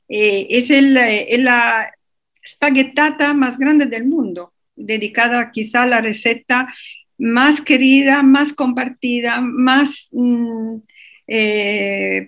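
A woman speaks slowly as a radio guest. She hesitates often, filling gaps and restarting her sentences. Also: a little high, a bit bright. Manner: hesitant, many fillers and restarts. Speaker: interview guest. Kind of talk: radio interview.

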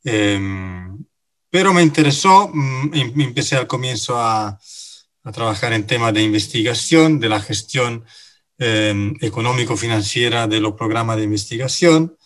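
A man's voice: mid-range voice, moderate pace, fairly bright tone. A man from Italy with an Italian accent, a native Italian speaker, answering radio interview questions hesitantly with many fillers and restarts. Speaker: guest, finance director.

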